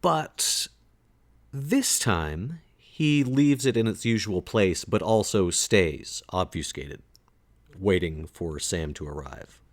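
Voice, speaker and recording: A man's voice: sits low, animated, slow. A man playing a tabletop role-playing game, describing what his character does.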